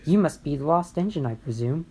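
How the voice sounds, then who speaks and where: A woman's voice: sits low, animated, fairly quick, a little mellow, breathy edge, full character voice. A woman voicing a cheerful young male tank engine who talks eagerly. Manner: eager, talkative.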